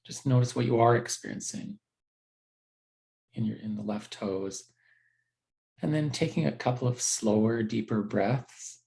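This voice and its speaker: A man teaching a guided meditation in a gentle, unhurried, conversational way with halting pauses. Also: mid-range voice, creaky.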